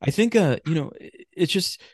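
A man in a casual podcast conversation, talking in a halting, rambling way and often restarting his phrases. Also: sits high, animated, no echo, clean studio sound.

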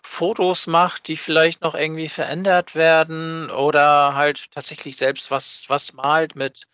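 Bright, sharp-voiced man in casual chat. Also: a little high, flat delivery, measured pace, smooth, no room sound. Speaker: fan podcast co-host. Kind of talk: casual unscripted podcast chat. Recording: dull, dry, band-limited audio.

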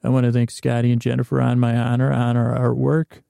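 A man hosting a bedtime podcast with a rambling, halting, goofy improvised monologue that trails off mid-sentence. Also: mid-range voice, completely flat delivery, creaky, warm tone, no room sound, studio quality. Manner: goofy, whimsical. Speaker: podcast host.

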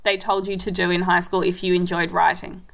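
A woman's voice: fairly low pitch, level tone, fairly quick, vocal fry, fairly bright tone. A woman hosting an interview, encouraging her guest and asking follow-up questions. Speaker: podcast host. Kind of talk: casual interview conversation.

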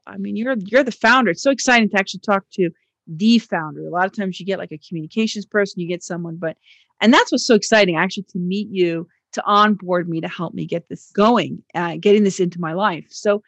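A woman's voice: mid-range voice, fairly bright tone, no room sound. A woman interviewing a startup founder in an effusive, chatty way. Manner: effusive, quick to laugh along. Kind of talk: conversational interview.

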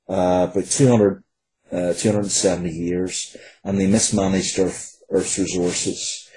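A man talks as a writer being interviewed. His voice is deep and dark, and he explains things in an earnest, wordy way with hesitant phrasing. There is slight noise on the recording. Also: low-quality recording.

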